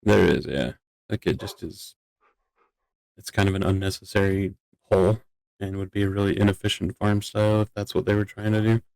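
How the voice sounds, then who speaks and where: A man's voice: on the low side, fairly even intonation, fairly quick, vocal fry, darkish tone, no echo, low-quality recording. A man chatting casually as a player in an online game roleplay. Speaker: roleplay gamer.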